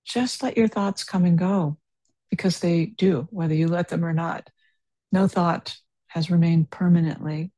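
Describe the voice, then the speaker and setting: A woman guiding a meditation, slow, soft-spoken and unhurried, with long pauses. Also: fairly low pitch, steady tone, dry recording. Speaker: meditation teacher. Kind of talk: guided meditation.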